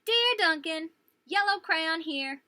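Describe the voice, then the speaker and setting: A woman's voice: extremely high pitch, slow, bright, forceful tone, dry recording, pitched above the performer's own voice, heavily acted. A woman voicing a proud, insistent crayon from a children's storybook, speaking emphatically and with self-assurance. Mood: boastful, insistent. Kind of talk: children's storybook read-aloud.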